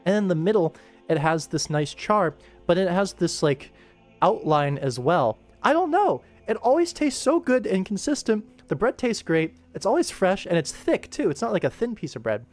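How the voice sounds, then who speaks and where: Young American man, slightly high voice, casual livestream host, passionate, emphatic, rambling, music in the background. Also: very animated, normal speed. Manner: enthusiastic, emphatic, tangent-prone.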